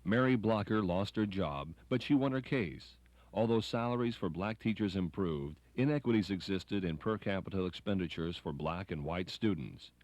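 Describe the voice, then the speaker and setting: A man's voice: sits low, moderate pace, a little mellow, dry recording. A man reading scripted narration for a documentary.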